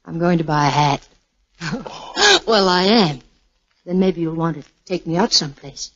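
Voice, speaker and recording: Casting: young newlywed wife, vintage 1940s radio drama, adoring and wistful, husky edge, muffled broadcast. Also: female voice, low voice, measured pace. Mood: bright, playful.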